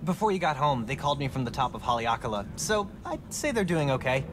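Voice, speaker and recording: Actor as animated, chatty teenage boy. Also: medium pitch, fast talker, background noise, lightly acted. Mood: relaxed, friendly. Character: chatty, affable teenage boy best friend. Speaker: video game character. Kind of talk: natural game dialogue. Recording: game audio with music behind.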